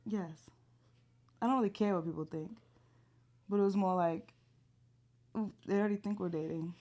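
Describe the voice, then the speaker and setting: A woman's voice: medium pitch, normal speed, dark tone. A woman in her thirties co-hosting a podcast, teasing her husband with dry comebacks. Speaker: podcast co-host. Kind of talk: casual chat.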